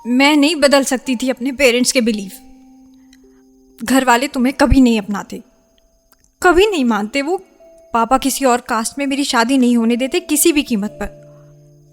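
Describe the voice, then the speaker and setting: Woman acting torn young wife in a hindi radio play, high voice, pleading and defensive, music behind her. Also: close to an everyday voice. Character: anguished young woman torn between lover and parents.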